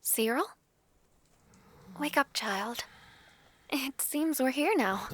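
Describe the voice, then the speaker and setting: Woman acting a high-voiced, gentle young princess. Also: lively intonation, slow, full character voice. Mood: gentle, grateful. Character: gentle, well-mannered foreign princess, young and wistful. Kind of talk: fantasy audio drama acting.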